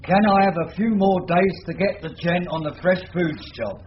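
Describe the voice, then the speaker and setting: An actor playing a shifty small-time crook turned police informer in a radio drama, slangy, defensive and wheedling. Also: male voice, sits high, moderate pace, close to an everyday voice. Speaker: witness. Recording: noisy, muffled radio drama recording.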